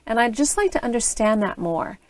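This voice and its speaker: A woman's voice: fairly high pitch, very animated, fairly quick. An American woman interviewing student teachers over a video call, asking open questions in an encouraging way. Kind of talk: reflective interview.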